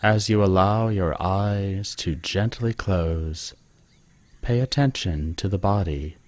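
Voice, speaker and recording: A man leading a guided meditation with gentle, calm instruction. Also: low voice, dry recording.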